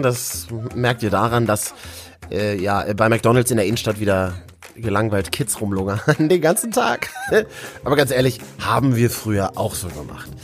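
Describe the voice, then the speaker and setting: A man from Berlin hosting a local news show in a cheerful, casual way, full of jokey asides. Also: medium pitch. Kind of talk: casual upbeat news chat.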